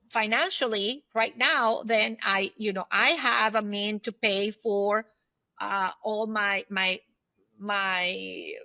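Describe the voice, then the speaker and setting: A woman's voice: medium pitch, bright, forceful tone, dry recording. A woman in her fifties speaking conversationally as an interview guest, giving advice emphatically and often checking for agreement. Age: 50s. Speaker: podcast guest, former aerospace executive.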